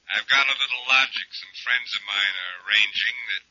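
An actor playing a smug, threatening business rival in a 1940s radio drama, insinuating in manner, on an old recording. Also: male voice, fairly low pitch, fairly quick, sharp tone, dry recording, muffled recording. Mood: smug menace.

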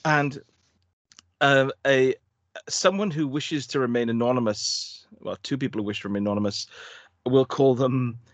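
A man's voice: medium pitch, moderate pace, dry recording. A man co-hosting a podcast, chatting casually in a jokey, rambling way with plenty of laughter. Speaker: podcast co-host. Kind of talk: casual chat.

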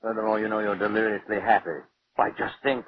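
A man's voice: fairly low pitch, level tone, fairly quick, close to an everyday voice. Tinny 1940s radio drama, sarcastic bitter husband guy acting it, pompous and mocking, bit of hiss. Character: sardonic, bitter ailing husband, theatrically mocking his wife. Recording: tinny, noisy vintage 1940s radio recording.

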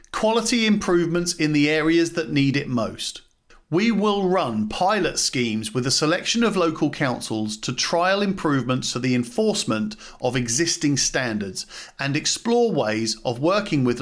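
A man's voice: medium pitch, a bit bright. A man who hosts a podcast, reading a formal document aloud as scripted narration. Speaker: podcast host.

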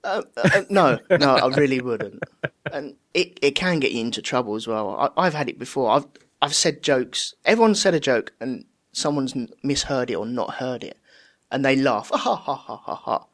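A man's voice: mid-range voice, expressive, moderate pace, fairly bright tone. A man with a southern English accent, chatting casually and acting out quoted voices in his stories. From England. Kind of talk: casual podcast chat.

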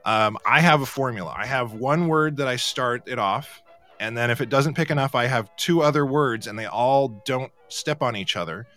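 A man with a medium-pitched voice hosts a casual live comedy talk show. He is chatty and jokey, stressing words with animated emphasis as he banters. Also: moderate pace.

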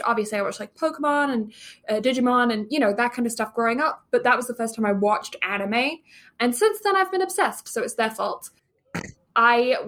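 A young woman co-hosting a casual podcast, her accent a blend of English and Canadian. Her voice sits a little high, and she talks in a chatty way with frequent laughs. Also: a bit bright. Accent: mixed English and Canadian. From England, raised in Canada. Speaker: podcast co-host. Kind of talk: casual chat.

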